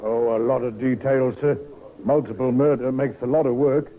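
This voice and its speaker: An actor playing a deferential, businesslike police sergeant in a vintage radio drama. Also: male voice, medium pitch, fairly even intonation, moderate pace, natural voice. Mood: brisk, matter-of-fact. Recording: muffled old radio recording.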